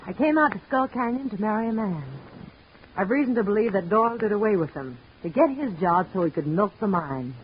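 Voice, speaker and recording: A woman's voice: mid-range voice, natural voice. A woman as a plucky, no-nonsense young woman. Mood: earnest. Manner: brisk, no-nonsense, lively. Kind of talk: 1940s radio acting. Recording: muffled old radio recording with faint hiss.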